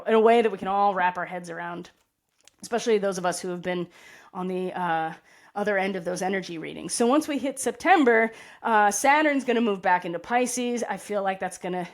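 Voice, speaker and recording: A woman with a raspy voice giving a casual astrology forecast, rambling and correcting herself with many asides and tag questions. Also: fairly low pitch. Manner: rambling, self-correcting, frequent "right?" and "you know". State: tired voice.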